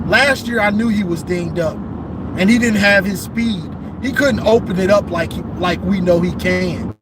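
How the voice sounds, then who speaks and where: High-voiced man talking over loud road noise. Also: unhurried. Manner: rambling, repeats himself, says "you know what I'm saying". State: losing his voice. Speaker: football show host. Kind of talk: casual football talk. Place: in a vehicle on a bridge.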